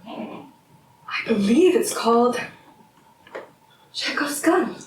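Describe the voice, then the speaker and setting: A woman's voice: fairly high pitch, animated, drawn-out words. Woman acting a volatile, bitter wife, echoey room. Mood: sardonic, menacing. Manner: theatrical, sardonic, swinging into shouts. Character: bitter, menacing academic wife, taunting and volatile. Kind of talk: stage drama acting. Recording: roomy, distant mic, faint noise.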